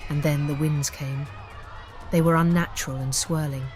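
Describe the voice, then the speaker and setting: A woman narrating a scripted dark fantasy story in a dramatic, deliberate audiobook style. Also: low-pitched, fairly even intonation, crowd noise. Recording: music bed behind the voice. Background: background music.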